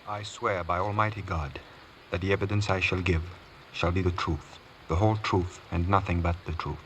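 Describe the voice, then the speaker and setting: Deep, solemn valet under oath. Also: male voice, steady tone, fairly bright tone. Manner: earnest, respectful, measured answers. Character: solemn, loyal male valet and war veteran on oath. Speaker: actor playing witness. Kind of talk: 1950s radio courtroom drama acting. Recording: noisy old radio recording.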